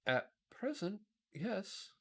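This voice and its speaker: A man's voice: high-pitched, sing-song, very slow, slightly rough, pitched above the performer's own voice, full character voice. A man voicing a humble, anxious, naive pumpkinhead from a storybook, speaking in a simple, earnest, deferential way. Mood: worried.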